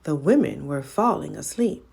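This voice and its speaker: A woman's voice: sits low, animated, moderate pace, creaky, darkish tone, breathy edge. A woman storyteller reading a folk tale aloud in a dramatic narrating style.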